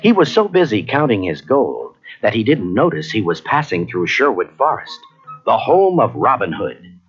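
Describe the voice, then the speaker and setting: Animated male storybook narrator. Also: lowish voice, moderate pace. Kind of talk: scripted children's read-along narration. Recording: dull cassette, faint music behind.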